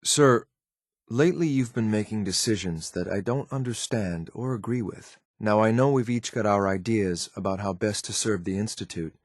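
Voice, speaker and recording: A stern synthetic enforcer from a sci-fi game, acted by a man, with a low male voice. He speaks formally and deadpan in clipped, controlled phrases, dutiful to the core. The sound is dry and close. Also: normal speed, dry recording, lightly acted. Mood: grave, candid. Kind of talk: video game voice acting.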